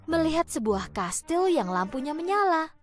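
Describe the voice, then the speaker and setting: A woman narrating a cartoon fairy tale in an expressive, storytelling manner over background music. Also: sits high, normal speed.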